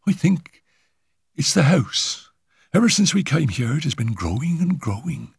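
A man's voice: a little high, big pitch swings, gravelly, slightly rough, dry recording, clean studio sound, voice pushed up, exaggerated voice. A man voicing a frightened young woman. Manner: hurried, halting, nervous.

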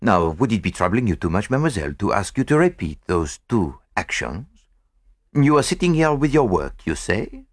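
A man playing a courteous, shrewd gentleman detective in a storybook reading, polite and measured. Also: on the low side, big pitch swings, slightly rough, dry recording, studio quality. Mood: probing, polite.

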